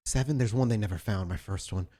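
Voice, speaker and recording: A man voicing an obsessed young female copycat killer in a tabletop role-play, defiant and evasive, halting and repeating words. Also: fairly low pitch, mellow, lightly acted. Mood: evasive, confessional.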